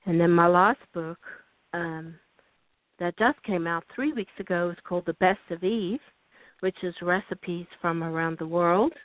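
An older South African woman, lowish in pitch, telling her life story as an interview guest. She talks unhurriedly, with ums and restarts. Her voice comes over a tinny, dry phone line. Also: a little slow, dry recording. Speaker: guest author.

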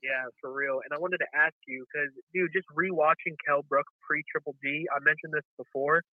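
A man calling into a show, sick with a raspy, nasal voice, talking in a casual, laid-back way. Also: fairly high pitch, steady tone, normal speed, no room sound. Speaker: caller. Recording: phone line.

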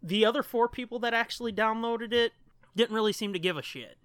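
Guy podcasting, bright crisp voice, loose rambly chat, bit sweary, dry sound. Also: high-pitched, a little fast, no echo. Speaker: podcast co-host.

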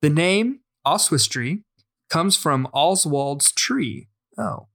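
A man's voice: a little high, expressive, slow pace, vocal fry, fairly bright tone. A man hosting a podcast in a casual, chatty way, reading aloud with occasional stumbles and self-corrections. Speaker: podcast host. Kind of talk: casual podcast chat, reading out a listener message.

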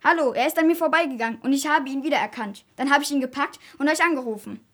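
A man's voice: very high-pitched, fast, a bit bright. A young boy playing an eager caretaker in an amateur children's radio play. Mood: eager, plain. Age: child or young teen. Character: eager male caretaker who catches vandals.

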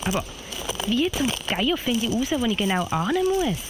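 A woman's voice: a little high, very animated, normal speed, background noise. A woman playing a puzzled, plucky young heroine who hesitates and thinks aloud in an amateur radio play over music. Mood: puzzled, resolute.

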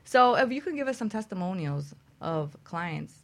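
Talk show host: woman, heavy vocal fry, casual interviewing. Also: mid-range voice, sing-song, moderate pace, noisy background.

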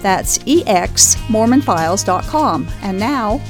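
A woman's voice: medium pitch, lively intonation. A woman reading a formal, scripted introduction to an interview show. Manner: measured, formal announcing. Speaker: announcer. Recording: voice-over with clear music behind.

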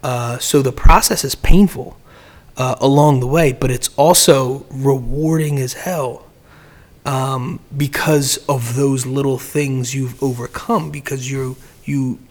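A man's voice: mid-range voice, steady tone, takes it slowly. A man in casual podcast chat, giving business advice in a rambling way, often repeating words and restarting sentences.

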